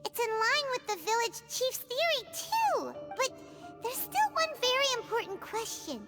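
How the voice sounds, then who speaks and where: A woman voicing a small, childlike, chirpy fairy companion in a video game, squeaky and blunt. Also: big pitch swings, a little slow, full character voice. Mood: curious. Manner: squeaky, childish, refers to self in third person. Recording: loud music over the voice.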